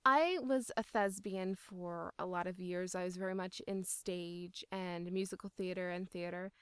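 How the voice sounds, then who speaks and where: A woman's voice: lowish voice, fairly even intonation, a little slow, a bit bright, smooth, no room sound. A woman speaking as an interviewed voice actor, in casual, enthusiastic and emphatic conversation.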